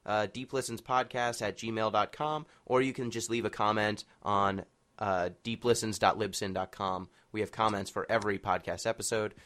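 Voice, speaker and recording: A man's voice: medium pitch, a bit bright. A man hosting a podcast, speaking casually as he interviews guests and gives announcements.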